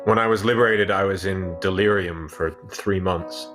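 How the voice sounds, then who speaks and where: A man playing a reserved, haunted scientist who survived a wartime camp, speaking haltingly in a sci-fi radio play. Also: sits low, steady tone, lightly acted. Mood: detached, somber. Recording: music under the voice.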